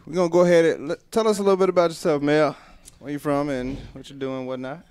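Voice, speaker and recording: Clear-voiced man hosting a casual show. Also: a little high, fairly even intonation, fast talker, a little mellow. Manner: informal, slangy, repeats phrases. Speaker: radio show host.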